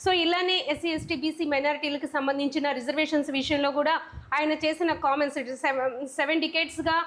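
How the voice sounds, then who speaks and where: Female political news presenter, high-pitched, wordy explanatory commentary. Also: fairly even intonation, fairly quick, fairly bright tone.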